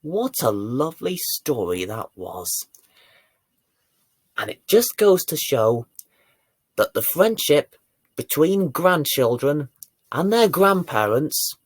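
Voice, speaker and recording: Male children's storyteller, very lively intonation, theatrical emphasis, dry close recording. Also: mid-range voice, no echo. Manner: deliberate, theatrical emphasis with dramatic swells and hushes. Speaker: children's bedtime story presenter and reader. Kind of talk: expressive bedtime storytelling for young children.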